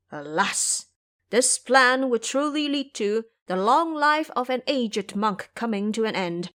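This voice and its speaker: A woman narrator telling a scripted audio drama story. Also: on the high side.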